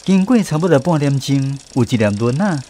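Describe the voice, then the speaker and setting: A man's voice: mid-range voice, expressive, moderate pace, dark tone, a little hiss or hum, close to an everyday voice. A man with a Taiwanese accent playing a grown man who narrates fond memories of his country childhood in a radio drama. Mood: reminiscing.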